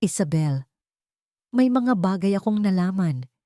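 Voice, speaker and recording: A woman voicing a betrayed wealthy husband in an audio story, calm, stern and authoritative. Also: mid-range voice, measured pace, dry recording, clean studio sound, close to an everyday voice. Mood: controlled.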